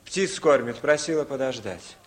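An actor playing a cheeky, lovesick young village driver in a 1950s radio comedy, theatrical and wheedling. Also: male voice, mid-range voice, animated, normal speed, lightly acted. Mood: defensive.

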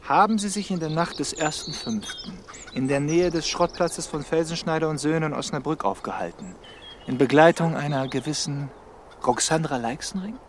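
A man's voice: fairly high pitch, normal speed, a little hiss or hum, close to an everyday voice. A man playing a dogged police detective in a radio crime drama, questioning persistently with dry irony. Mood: probing, dry. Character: dogged male police detective, ironic and probing.

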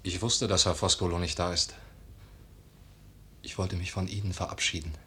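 An actor playing a shy, earnest young farmhand with a husky voice in a German radio play. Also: male voice, low-pitched, steady tone, moderate pace, bright, forceful tone, slight background noise. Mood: shy, hesitant.

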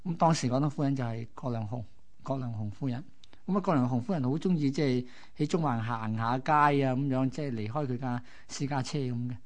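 Male radio co-host, Hong Kong accent, average pitch, colloquial storyteller explaining piece by piece. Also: brisk, darkish tone. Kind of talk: conversational storytelling.

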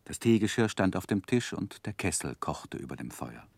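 A reflective narrator looking back on boyhood in a 1960s German radio drama. The voice is low and very lively in melody, telling the story in measured literary phrases. The sound is close and dry. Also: male voice, vocal fry, husky, dry recording, full character voice. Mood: reflective, expressive.

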